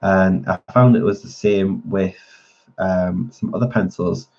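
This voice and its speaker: A man's voice: low-pitched, steady tone, measured pace, a little mellow, muffled recording. A man hosting a colouring channel, chatting casually with viewers while he colours, a little nasal as he gets over a cold. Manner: chatty, answering viewer comments. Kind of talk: casual live-stream chat while colouring.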